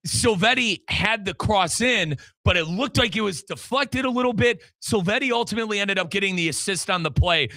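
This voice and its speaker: A man's voice: sits high, lively intonation, a little fast, creaky, hardly any pauses, pushed and bright, dry recording. An American man hosting a sports show, recapping matches in an emphatic, colloquial way and speaking straight to listeners and his co-host. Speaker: sports show host and radio play-by-play commentator.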